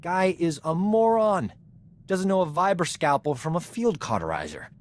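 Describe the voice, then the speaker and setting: An actor playing a cocky, flirtatious medic in a video game, smooth-talking and boastful, with a theatrical way of talking. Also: male voice, on the high side, very animated, moderate pace. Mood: indignant. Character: cocky, flirtatious male medic, self-promoting charming rogue. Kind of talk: video game character dialogue.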